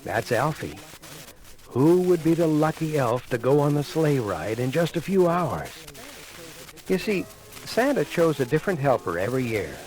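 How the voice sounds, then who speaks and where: Male storyteller, lively swooping delivery, children's Christmas record, conversational asides, dramatic pauses, vintage vinyl sound with music behind. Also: a little high, poor audio. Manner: animated, conversational, addresses the listener, dramatic pauses. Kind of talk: children's read-along Christmas record narration. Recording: cleaned-up 1980 vinyl record.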